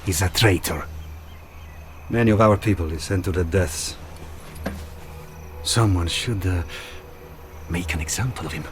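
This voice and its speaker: Game character: vengeful local in wartime, disdainful formal delivery, fairly low, light score underneath. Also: male voice, a little slow, long pauses, faint music behind. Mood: bitter contempt. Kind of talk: cinematic military game acting.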